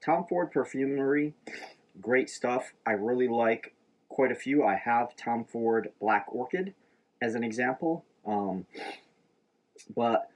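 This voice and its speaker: A man's voice: mid-range voice, slow. A man with a mid-range voice talks through his shaving routine. He is a little stuffed up, so his voice comes out slightly nasal. He rambles casually, with plenty of fillers. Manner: rambling, relaxed, many fillers. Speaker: shaving vlogger. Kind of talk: casual chat to camera. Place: inside an RV.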